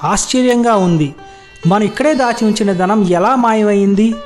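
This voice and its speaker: A man playing a gentle, honest merchant in an animated moral story, speaking earnestly and formally. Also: high-pitched, normal speed, soft background music, close to an everyday voice. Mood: dismayed.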